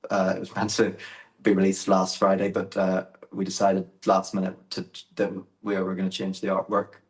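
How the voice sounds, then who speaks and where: Young Northern Irish man, flat low delivery, unhurried and hesitant. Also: roomy sound. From Northern Ireland. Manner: hesitant, halting, with many fillers and restarts. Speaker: musician guest. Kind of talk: casual interview.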